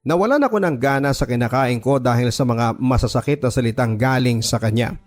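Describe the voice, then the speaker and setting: A man's voice: medium pitch, brisk, a little mellow. A man narrating a scripted first-person story in a dramatic radio style, with background music.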